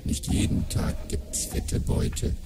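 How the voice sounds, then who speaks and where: An actor playing a wry narrator reciting mocking moral rhymes in measured verse. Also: male voice, very noisy, natural voice. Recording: loud music behind the voice.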